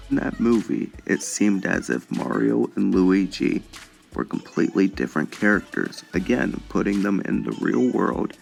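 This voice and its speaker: A man's voice: fairly low pitch, vocal fry. A man hosting a podcast-style opinion commentary, speaking with emphatic phrasing. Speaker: video host and commentator.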